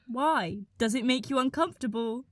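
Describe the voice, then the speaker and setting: A woman's voice: a little high, normal speed, no room sound, close to an everyday voice. A woman acting as a confident, blunt, opinionated young woman in a naturalistic radio play.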